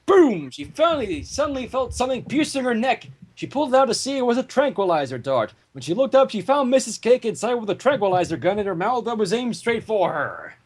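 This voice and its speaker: A woman narrating fan fiction in an animated, informal way, with dramatic story reading. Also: a little high, brisk, hardly any pauses, slightly rough.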